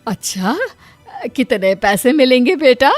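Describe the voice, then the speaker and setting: Woman acting middle-aged mother, higher pitch, scolding, exclamatory, cartoon story dialogue, music behind. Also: animated, unhurried. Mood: surprised, nagging. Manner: nagging, exclamatory.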